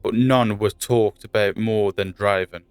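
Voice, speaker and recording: A man reading scripted fantasy lore as a narrator, in a measured storytelling delivery. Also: lowish voice, steady tone, smooth, no echo. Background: faint music.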